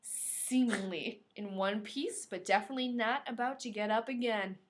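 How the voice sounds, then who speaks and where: A woman's voice: fairly high pitch, moderate pace, slight background noise. A woman podcast host reading a novel aloud expressively, breaking into playful, casual asides.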